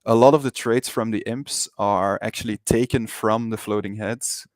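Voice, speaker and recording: A man from Belgium with Dutch as his first language and a Dutch-speaking Belgian accent, chatting casually as an interview guest in a hesitant, halting way. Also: fairly low pitch, steady tone, no echo, clean studio sound. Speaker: interview guest, digital artist.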